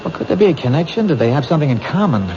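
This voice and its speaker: An earnest young detective presses his theory with a lively, rising and falling voice. The 1970s radio drama sounds muffled and hissy. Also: a little high, very fast. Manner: earnest, questioning, animated. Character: eager young male police detective. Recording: dull noisy old radio broadcast.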